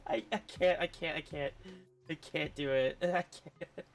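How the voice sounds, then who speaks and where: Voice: medium pitch, unhurried. Giggly game streamer, music behind. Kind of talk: casual live-stream commentary on a video game.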